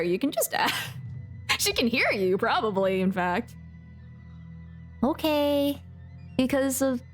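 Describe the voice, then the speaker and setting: A woman game streamer with a medium-pitched voice chats casually with her viewers. She rambles in a playful, giggly manner while faint game music plays behind her. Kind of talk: casual livestream chat while playing a game.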